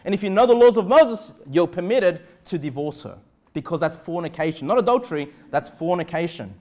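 A man's voice: high voice, expressive, brisk, a little mellow. A middle-aged Australian man preaching a sermon as a pastor, emphatic yet conversational, often checking that listeners follow. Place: indoor church pulpit in a small room. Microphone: clip-on lapel mic.

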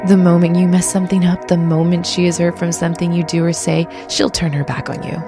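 Woman playing a low, gravelly, taunting villainess. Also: little pitch movement, fast, over music, natural voice. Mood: cold taunting. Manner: taunting, cold. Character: bitter, menacing young villainess, cold and taunting. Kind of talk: fan audio drama acting.

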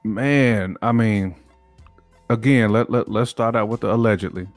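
A man's voice: lowish voice, a little slow, soft background music. An American man chatting casually as a panelist on a podcast. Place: indoor room on a video call.

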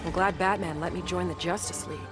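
A woman's voice: on the low side, steady tone, quick pace, loud music behind the voice, lightly acted. A woman playing a confident, defiant young superheroine in a video game, with a bold, challenging manner.

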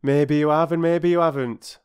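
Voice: medium pitch, fairly even intonation, moderate pace, a little mellow, clear, resonant tone, voice pushed up, close to an everyday voice. A narrator voicing an indignant working-class railway porter from a children's storybook, lofty and aggrieved, with ungrammatical speech. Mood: huffy, lofty. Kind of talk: children's storybook character reading.

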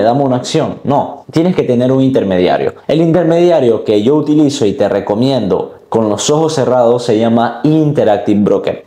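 A man's voice: mid-range voice, dark tone, roomy sound. A man, hosting a beginners' explainer in a conversational, step-by-step way, addressing the listener directly. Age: 20s. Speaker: finance video host. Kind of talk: explanatory talk to camera for beginners. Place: bright high-rise room with large window. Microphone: lapel mic.

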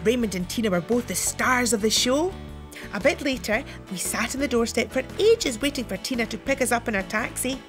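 A woman with a Scottish accent playing a warm-hearted granny childminder, telling things in a sing-song children's show style. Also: fairly high pitch, lively intonation, fairly quick, close to an everyday voice. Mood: storytelling. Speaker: childminder narrator. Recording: music behind the voice.

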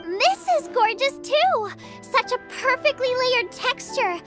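A cheerful young girl chef from a video game, with a very high, highly animated voice. She is bubbly, eager and generous, full of passion as she speaks. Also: slow, over music, full character voice. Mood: excited. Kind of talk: video game character acting.

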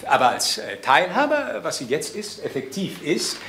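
An actor playing a patronising adult son, halting, formal and pedantic, in a naturalistic crime drama. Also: male voice, a little high, wildly swooping pitch, sharp tone, heavily acted. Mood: fussily explaining. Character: pompous, patronising adult son, coolly reasoning, fussy.

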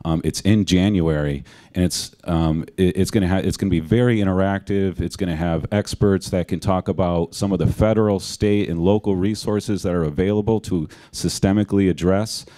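Deep, dark-toned man urging on a panel. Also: steady tone, moderate pace, few pauses. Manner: urgent, pleading. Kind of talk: panel discussion answer. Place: auditorium stage panel.

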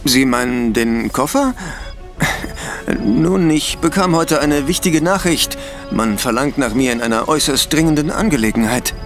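An actor playing an evasive, indignant doctor under suspicion in a German audio drama, blustering and defensive. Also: male voice, medium pitch, lively intonation, normal speed, music in the background, lightly acted. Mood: flustered, evasive.

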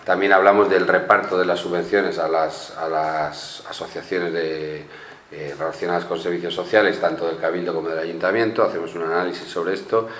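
A man, a journalist summing up news stories in a small studio, measured with small restarts. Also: low-pitched, fairly even intonation, a bit bright. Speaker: newspaper journalist. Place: small studio with blue backdrop. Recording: echoey studio room, faint hum.

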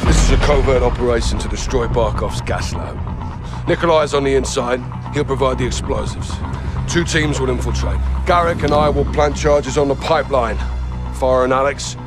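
An actor playing a middle-aged British special forces captain, terse, dry and resolute, in dramatic game dialogue. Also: male voice, mid-range voice, normal speed, over music, close to an everyday voice. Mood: commanding briefing. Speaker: actor in a military game cutscene.

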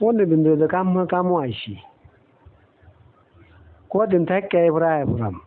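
Through a thin, phone-like recording comes a man acting a humble servant from a cartoon. His fairly high voice has a rural Bangladeshi accent and sounds weary and plaintive, always deferential. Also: sing-song, moderate pace. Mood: homesick, pleading. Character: weary, humble village father working as house servant. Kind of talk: cartoon dialogue.

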